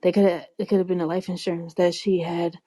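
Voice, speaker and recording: A woman's voice: lowish voice, level tone, quick pace, dark tone. A woman chatting casually as a podcast co-host, easygoing and colloquial in the way she talks. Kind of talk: casual chat.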